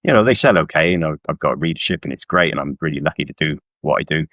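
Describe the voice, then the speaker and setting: Middle aged guy from south wales, really deep voice, casual author interview chat, plays himself down, dry sound. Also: lively intonation, fast, a bit bright, dry recording. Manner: self-deprecating, informal. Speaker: guest author.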